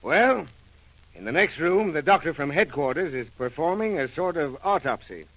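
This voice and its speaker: A brisk American detective of 1940s radio drama, sure of his hunches. His voice sits on the high side and is bright and forceful, with lively swings of pitch. He talks in clipped, slangy hard-boiled phrases. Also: no room sound, poor audio. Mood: satisfied, explaining.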